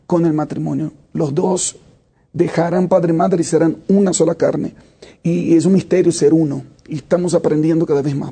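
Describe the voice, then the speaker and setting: Guy in his 30s, gravelly dark voice, thinks out loud with lots of uhs. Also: on the high side. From Brazil. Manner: reflective, with frequent hesitations. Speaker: worship leader and singer. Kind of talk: interview answers.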